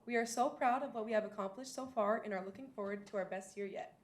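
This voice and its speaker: A woman's voice: mid-range voice, fast talker. A teenage girl, a student body president, delivering a rehearsed, enthusiastic report to a school board. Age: teens. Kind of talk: prepared report.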